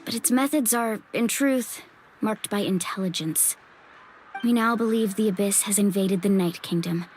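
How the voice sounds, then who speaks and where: A woman's voice: mid-range voice, lightly acted. A woman voicing a friendly, confident young warrior in an anime fantasy game, with an easygoing, earnest way of talking. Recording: music behind the voice.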